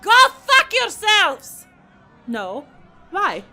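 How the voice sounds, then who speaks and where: A woman voicing a blunt, excitable alien hog union striker in an audio drama. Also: extremely high pitch, extremely slow, soft background music, exaggerated voice. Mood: fiery.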